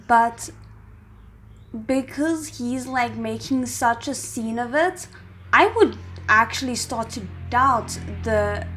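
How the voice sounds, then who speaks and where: A woman's voice: on the high side, fairly bright tone, a little hiss or hum. A woman hosting a reaction video, giving casual commentary and thinking aloud.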